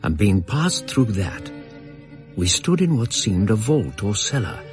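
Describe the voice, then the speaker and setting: Low, expressive English storyteller. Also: male voice. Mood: suspenseful. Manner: measured, literary storytelling. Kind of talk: scripted radio drama narration. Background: music.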